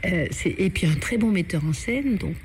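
Chatty lower-voiced woman interviewer. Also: lively intonation, moderate pace, a little mellow, slight background noise. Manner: chatty, laughs often, trails off mid-sentence. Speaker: radio interviewer. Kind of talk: casual interview.

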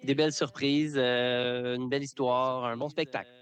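A man's voice: mid-range voice, normal speed. A man, a circus performer talking casually in a radio interview with many fillers and restarts. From Canada. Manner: relaxed, repeats words, many fillers.

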